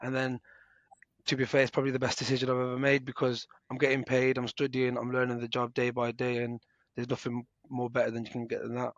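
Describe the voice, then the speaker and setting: A young man in his twenties answers interview questions about his apprenticeship in a relaxed, informal manner, often restarting his words. He speaks in a flat tone with little pitch movement, and the recording is dry and somewhat dull. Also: fast talker, no room sound. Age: 20s. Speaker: apprentice guest.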